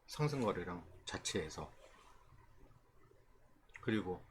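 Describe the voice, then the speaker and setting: A man with a fairly low voice explains things informally, like an instructor walking through a screen. His intonation swings widely, and he often checks in with short questions. There is clear background noise in the recording. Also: lively intonation, takes it slowly. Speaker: stock trading instructor. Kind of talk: informal screen-share tutorial explaining stock charts.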